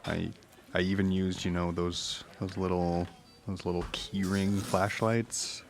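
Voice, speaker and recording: An actor playing a haunted witness in an audio drama, recounting eerie events in a halting, uneasy way. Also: male voice, low voice, flat delivery, soft background music. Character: haunted married truck driver recounting eerie encounters. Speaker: podcast guest, witness. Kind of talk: naturalistic audio drama storytelling.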